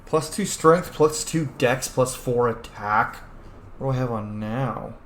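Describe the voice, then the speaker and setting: A man plays and comments on a game in a casual, unpolished way. His voice has a middling pitch, with noticeable room echo and a faint noise underneath. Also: measured pace, fairly bright tone. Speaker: gaming streamer, role-player. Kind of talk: casual game playthrough commentary with in-character replies.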